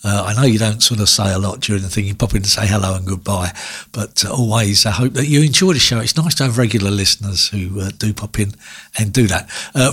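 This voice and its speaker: Husky man, rambling radio host, friendly asides to listeners. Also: mid-range voice. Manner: rambling, many fillers and restarts. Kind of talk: casual radio chat between records.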